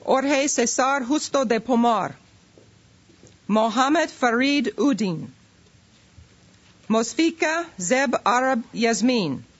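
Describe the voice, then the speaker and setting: A woman calls out names one by one at a formal ceremony, unhurried and even. The recording sounds muffled and lo-fi. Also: on the high side. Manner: steady, measured roll call. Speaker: immigration official. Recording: dull lo-fi recording.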